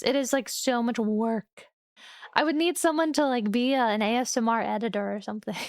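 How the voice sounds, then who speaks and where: Young woman streamer yapping to chat, high voice, dry close mic, giggly and laid back. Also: dry recording. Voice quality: relaxed, chatty, giggly. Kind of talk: casual chat with stream viewers. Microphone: studio microphone.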